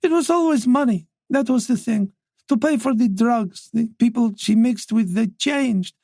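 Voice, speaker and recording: A man voicing an older mother who talks quickly and insistently. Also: very high voice, gravelly, a little mellow, no room sound, studio quality, voice pushed up, full character voice. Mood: earnest. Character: worried older French mother, forthright and talkative.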